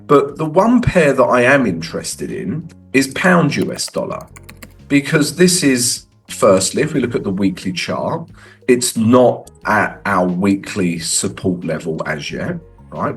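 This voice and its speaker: Lively-pitched man with a cold. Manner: conversational, frequent "right?" tags. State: has a cold. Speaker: forex trading educator. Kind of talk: casual chart walkthrough.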